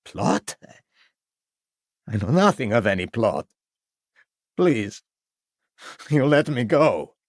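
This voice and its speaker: A man's voice: lowish voice, fairly even intonation, takes it slowly, vocal fry, hardly any pauses, a bit bright, clean tone, dry recording, studio quality, exaggerated voice. A man voicing an anxious foreign street violinist who speaks in broken English and refers to himself by name. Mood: bewildered. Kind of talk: audiobook character voice.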